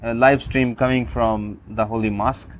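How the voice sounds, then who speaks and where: A man whose first language is Urdu, calmly demonstrating a phone app, with many fillers and restarts. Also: medium pitch, moderate pace, slight background noise. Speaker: app reviewer.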